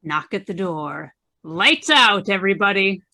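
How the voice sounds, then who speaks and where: Lady announcer for an old radio drama, mid pitch, lots of noise behind, from a bit far. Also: animated. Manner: dramatic, measured.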